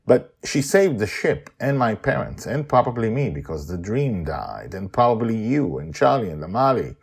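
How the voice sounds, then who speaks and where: A man's voice: on the low side, big pitch swings, moderate pace, vocal fry, husky, pitched above the performer's own voice, full character voice. A man playing a guilt-ridden, protective father in an audio adventure story. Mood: awkward, relieved.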